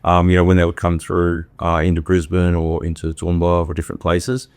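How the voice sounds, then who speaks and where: A man's voice: sits low, monotone, brisk, a little mellow, smooth, dry recording. A man from Australia, a podcast guest, talking in a reflective, casual way with many fillers and restarts. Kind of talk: casual interview talk.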